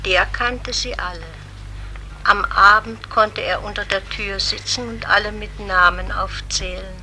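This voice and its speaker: A woman playing a sailor's dead mother in a radio drama, wistful and full of quiet wonder. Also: medium pitch, steady tone, measured pace, slight background noise, rough recording. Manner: wistful, marvelling.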